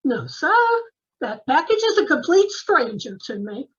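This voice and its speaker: A woman's voice: high-pitched, very animated, a little slow, breathy edge, dull audio, exaggerated voice. A woman playing a cheerful, love-struck, naive housemaid in an old-time radio comedy, earnestly muddling her words with a drawl. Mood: puzzled innocence.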